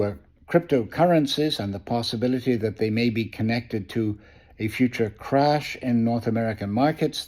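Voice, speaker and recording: A man who grew up in New York City, hosting a spoken newspaper review in a conversational way, often pausing with "uh" and restarting phrases. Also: mid-range voice, warm tone. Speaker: host of a press review. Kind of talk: casual spoken press review.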